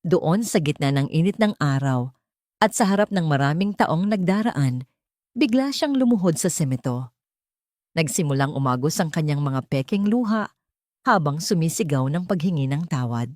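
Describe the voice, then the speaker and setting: Woman, lower-pitched voice, dry close sound, dramatic storytelling, measured emotive phrasing. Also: expressive, a little mellow, no echo. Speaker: narrator. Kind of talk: dramatic scripted story narration.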